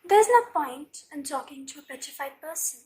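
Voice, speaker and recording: A woman plays a matronly school nurse in an audio play. She is high-pitched, stern and brisk, giving firm orders that allow little argument. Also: lively intonation, warm tone. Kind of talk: fan audio drama acting.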